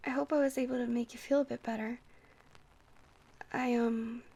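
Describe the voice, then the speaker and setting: A woman's voice: fairly high pitch, steady tone, normal speed, gravelly. A woman playing a shy, friendly vampire who rambles hesitantly and flirts sweetly in an ASMR roleplay. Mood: shy, warm. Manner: hesitant, rambling, soft-hearted, awkwardly stammering.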